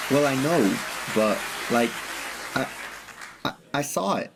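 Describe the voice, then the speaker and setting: A man playing a nervous, earnest thirty-year-old in a radio drama, husky and hesitant. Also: medium pitch, animated, takes it slowly, background noise. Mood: earnest, insistent. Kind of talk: high school radio drama acting.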